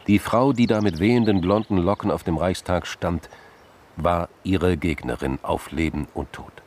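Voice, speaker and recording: A man narrating a scripted crime radio drama in measured, dramatic literary phrases with pauses. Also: deep voice, darkish tone.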